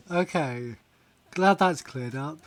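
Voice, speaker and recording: A man's voice: a little high, slow pace. A man chatting casually on a game stream, rambling, laughing often and reading chat aloud. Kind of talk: casual live-stream chat while gaming.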